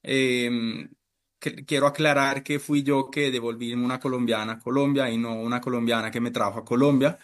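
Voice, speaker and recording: A man's voice: mid-range voice, steady tone, normal speed. Italian man, hesitant chef. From Italy. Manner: hesitant, many fillers and restarts. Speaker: chef and restaurant owner. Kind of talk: interview answers.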